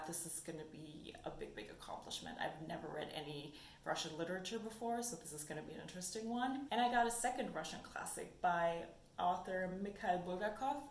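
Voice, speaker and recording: Chatty woman with a German first language. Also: lowish voice. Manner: chatty, thinking aloud with fillers. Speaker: book vlogger. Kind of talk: casual book haul chat. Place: sunny room indoors. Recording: echoey room with faint noise.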